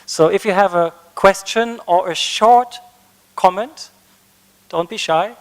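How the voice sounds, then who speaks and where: A man with a German accent chairs a panel in a large conference hall, his voice carrying a long reverb. It sits a little high and comes through smooth and resonant. He sounds friendly and lightly joking as he opens the floor. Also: slow pace. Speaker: panel moderator.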